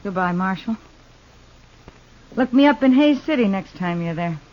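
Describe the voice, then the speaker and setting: A woman's voice: mid-range voice, expressive, normal speed, lightly acted. An actress playing a proud, self-reliant, wounded frontier woman in a 1950s radio western, speaking plainly with guarded wistfulness. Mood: hurt, resolute. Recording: dull old 1950s radio recording.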